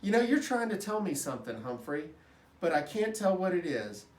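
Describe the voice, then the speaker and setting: A big, jovial night janitor played by a man reading aloud from a children's storybook. He is booming, folksy and playful, pitched a little high, in an echoey room. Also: big pitch swings, normal speed. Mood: warm, reassuring. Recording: roomy echo, distant microphone.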